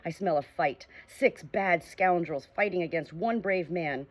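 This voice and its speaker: A woman's voice: on the low side, unhurried. A woman voicing a male dog who growls and barks in his sleep in a storybook reading. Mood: growling.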